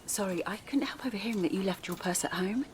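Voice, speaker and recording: An actress playing Alice, a kind and steady mother who speaks in a calm, reassuring, gently persuasive way. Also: medium pitch, fast talker, slight background noise, close to an everyday voice. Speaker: stranger who helps. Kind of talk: audio drama acting.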